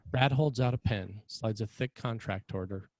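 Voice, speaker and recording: A man reading scripted narration and stage directions as a screenplay narrator, in a measured, dramatic way. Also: lowish voice, a little mellow, no room sound.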